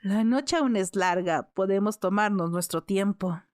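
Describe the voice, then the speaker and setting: Young nobleman in an audiobook, read by a woman: lowered pitch, measured, soft-spoken, insistent, teasing. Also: no echo, clean studio sound, lightly acted. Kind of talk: audiobook character dialogue.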